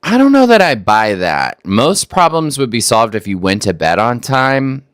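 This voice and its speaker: A man's voice: medium pitch, big pitch swings, moderate pace, a bit bright, clear, resonant tone, no room sound, clean studio sound. A man from Texas hosting a reaction video, making wry, skeptical remarks that stumble over restarts. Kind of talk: casual commentary on a clip.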